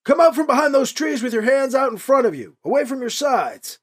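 A man voicing a gruff older male landowner with a shotgun, who shouts and sounds stern and suspicious. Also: high voice, sing-song, a little fast, pitched above the performer's own voice, full character voice. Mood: stern shouting. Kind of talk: audio drama reading.

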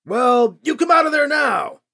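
A man voicing a gruff older male landowner with a shotgun, who shouts and sounds stern and suspicious. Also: high-pitched, very animated, fairly quick, voice pushed up, exaggerated voice. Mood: stern shouting. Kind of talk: audio drama reading.

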